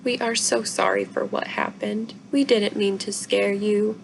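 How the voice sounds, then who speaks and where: A woman's voice: mid-range voice, steady tone, measured pace, vocal fry. A woman reading a storybook as an earnest, protective young girl who pleads emotionally. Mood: tearful, upset. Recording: noisy room recording.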